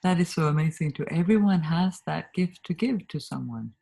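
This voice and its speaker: Swedish woman in her fifties, soft-spoken. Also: deep voice, animated, moderate pace, gravelly, husky. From Sweden. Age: 50s. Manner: warm, earnest, laughs often, soft trailing endings. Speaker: guest, communication trainer. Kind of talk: reflective interview talk over a video call.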